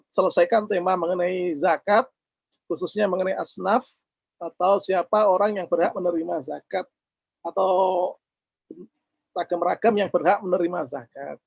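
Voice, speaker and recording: A man with a hoarse voice from a cold, teaching a religious lesson in a measured way and reciting Arabic passages over an online call. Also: sits high, no echo, dull audio. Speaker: Islamic teacher. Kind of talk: religious lecture.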